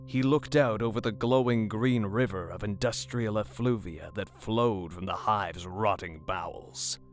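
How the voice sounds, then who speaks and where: A man narrating a dark horror story in a dramatic, scripted storytelling style. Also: lowish voice. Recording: music bed under the narration.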